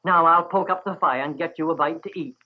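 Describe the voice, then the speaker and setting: Male narrator as welcoming country wife, homely and gentle, high register, muffled old radio sound. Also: a little fast, close to an everyday voice. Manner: warm, homely, gently hesitant. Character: kindly, hospitable New England farm wife. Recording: dull 1940s radio recording.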